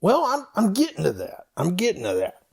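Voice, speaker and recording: Comedy skit: man playing a dim, folksy, hesitant rambler, heavy gravel, slightly raised pitch, unhurried delivery. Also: wildly swooping pitch, heavily acted. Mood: evasive, meandering. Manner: hesitant, halting, meandering. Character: rambling slow-witted folksy comic storyteller El Dub. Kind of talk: comedy skit read as dialogue.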